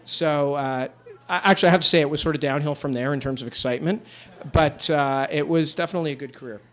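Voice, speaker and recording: A man in his fifties from Canada, a judge telling stories to a room of people in a relaxed, wry, conversational way with fillers. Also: fairly high pitch, quick pace. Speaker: judge giving a talk. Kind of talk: relaxed storytelling talk to a live audience. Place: room with an audience.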